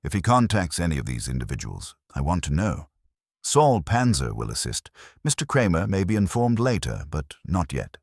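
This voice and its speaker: Man playing imperious male detective, disdainful, precise formal phrasing, very deep, audiobook mystery. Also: very animated, moderate pace, slightly rough, no echo, studio quality, heavily acted. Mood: calm, resolute. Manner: precise, pompous, formal wording.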